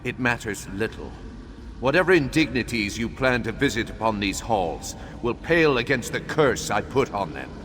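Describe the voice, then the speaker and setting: An actor playing a grave, remorseful undead imperial battle mage in a video game, speaking in a formal, measured, solemn way. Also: male voice, medium pitch, sing-song, a bit bright. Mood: bitter, imperious. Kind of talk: dramatic video game dialogue. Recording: long hollow reverb, faint background noise.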